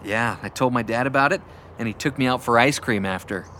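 A man playing an easygoing, affectionate middle-aged dad in an audio drama, casual and gentle in manner. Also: mid-range voice, a little fast, slight background noise, close to an everyday voice. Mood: reminiscing.

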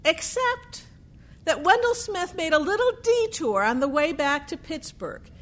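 A woman telling a true sports history story in a deliberate way, with dramatic pauses and emphasis. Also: extremely high pitch, sing-song.

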